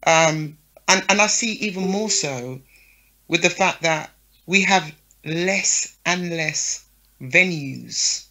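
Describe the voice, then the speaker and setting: Frank, bright-voiced woman promoter. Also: on the low side, slow. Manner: frank, lists names, checks in with "yeah?" and "right?". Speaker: guest, show promoter. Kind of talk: casual interview talk.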